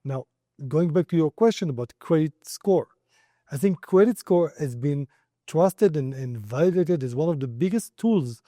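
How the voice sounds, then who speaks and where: A man's voice: a little high, warm tone, dry recording, studio quality. A middle-aged man with an Israeli accent, giving explanatory answers as an expert in an interview. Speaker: company founder and credit expert interviewee.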